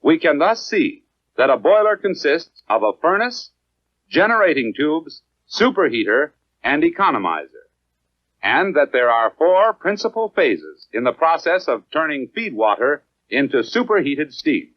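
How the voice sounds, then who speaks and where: An American man reads scripted 1950s educational film narration in a measured, clearly enunciated, instructional manner. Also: fairly high pitch, very animated, dry recording. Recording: dry, dull lo-fi 1950s film soundtrack.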